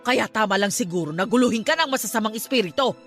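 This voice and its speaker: A woman's voice: fairly high pitch, lively intonation, fast talker, fairly bright tone, soft background music. A woman playing a stern but caring middle-aged religious aunt in an emphatic Tagalog radio drama. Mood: angry, scolding. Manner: emphatic, scolding then consoling.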